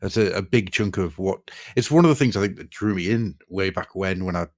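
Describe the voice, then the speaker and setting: A man in his forties with a very low-pitched voice talks casually on a podcast. He rambles through tangents, swears easily and comments wryly on himself. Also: brisk, a little mellow. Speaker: podcast host.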